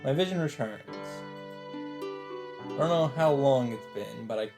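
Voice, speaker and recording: A man's voice: medium pitch, animated, measured pace, gravelly, loud music behind the voice. A man reading visual novel text aloud as casual narration, dropping in his own asides.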